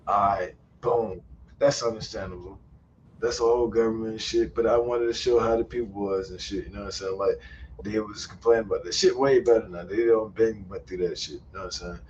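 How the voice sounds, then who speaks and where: A man's voice: on the low side, fairly quick, a little mellow. A man hosting a live stream who talks in a loose, profane, storytelling way and laughs often. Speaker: YouTuber and stream host. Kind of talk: casual chat on a live stream. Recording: muffled sound with faint ambient noise.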